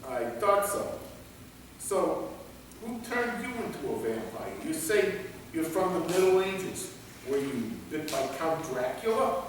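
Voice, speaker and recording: Man playing halting, curious guard in echoey hall. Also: fairly high pitch, sing-song. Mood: curious, stalling. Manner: halting, inquisitive, hesitant phrasing. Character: captured male guard stalling vampires with curious questions. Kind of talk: staged reading of a comic play. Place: large reverberant indoor performance space. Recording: echoey hall from far off, noisy.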